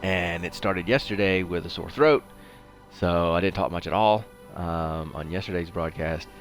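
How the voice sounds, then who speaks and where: A man's voice: deep voice, moderate pace, faint music behind. A man with a congested, sniffly head cold, giving casual, rambling game commentary as the host of a livestream.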